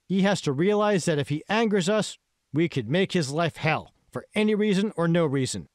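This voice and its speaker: A man's voice: high voice, lively intonation, moderate pace, dry recording, studio quality, voice pushed up. A man voicing a scheming, menacing tech billionaire in an audiobook, pointed and emphatic. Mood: menacing, insistent. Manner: pointed, emphatic, rhetorical questioning.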